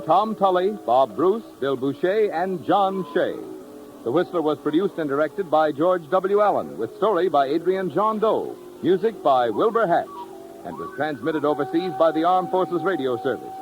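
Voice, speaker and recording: A man's voice: on the high side, very animated, moderate pace, rough recording. An American man announcing from a script in a polished, formal 1950s radio style. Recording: old radio broadcast, music behind.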